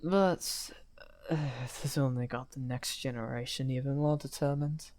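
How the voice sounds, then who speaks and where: A man playing a misunderstood, weary villain wizard in an ASMR fantasy roleplay, amused and softly menacing, talking teasingly and half in whispers. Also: medium pitch, steady tone, unhurried, lightly acted. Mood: playful, defensive.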